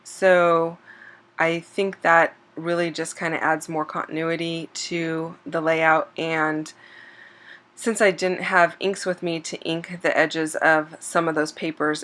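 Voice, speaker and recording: A slightly hoarse woman hosting a scrapbooking channel, chatting casually over a craft process video with plenty of ums and self-corrections. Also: sits low, slow. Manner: relaxed and chatty, with frequent um fillers and self-corrections. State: slightly hoarse, losing her voice.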